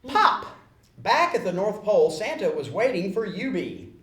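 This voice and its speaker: A woman's voice: medium pitch, very animated, moderate pace. A woman narrating a children's storybook as a scripted readalong. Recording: roomy echo.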